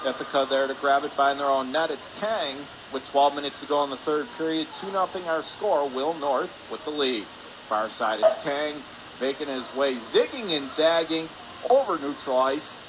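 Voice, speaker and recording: A man with a slightly high voice calls a hockey game live for radio. His delivery is brisk and clipped, following the play moment by moment. Loud rink noise runs behind him. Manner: brisk clipped present-tense calls, rising on shots. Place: indoor ice rink. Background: loud arena ambience noise.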